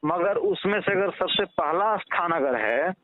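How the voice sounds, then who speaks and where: A man's voice: high-pitched, animated, measured pace, dry recording. Bihari man on a tinny phone line. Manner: conciliatory, repeats himself for emphasis. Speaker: father of a child at the centre of a public appeal. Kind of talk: casual phone conversation. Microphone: phone call. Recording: tinny, narrow-band phone line recording.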